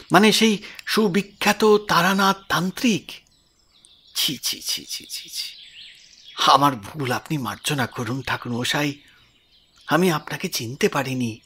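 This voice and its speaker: A man's voice: a little high, pushed and bright, slight background noise, voice pushed up, full character voice. A man voicing an anxious, humble old village man in an audio story, pleading and apologetic, stumbling and repeating his words. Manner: halting, repetitive, apologetic pleading.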